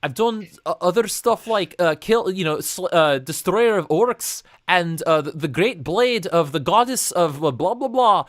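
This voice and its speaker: A man's voice: high-pitched, expressive, no echo, clean studio sound, pitched above the performer's own voice. Man putting on a pompous dwarf with a fake Scottish accent. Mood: boastful. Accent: fake generic fantasy Scottish. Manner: loud, pompous, drawn-out boasting. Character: boastful male dwarf adventurer, full of titles.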